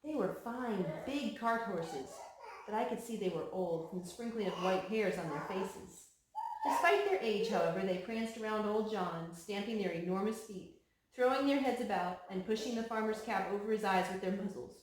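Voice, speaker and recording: A woman storyteller reads a children's book aloud, stopping for simple asides to her young listeners. Her voice is mid-pitched, and it rings in a very echoey room with some background noise. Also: normal speed.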